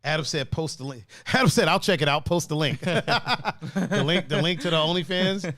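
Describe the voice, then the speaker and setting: Chill guy hosting a podcast, reads chat comments, laughs a lot, bit high voice. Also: normal speed, no room sound, clean studio sound. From not from Cleveland. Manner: laid-back, laughs often, animated pitch swings. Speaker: podcast host. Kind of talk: casual chat, reading out live comments. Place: podcast studio.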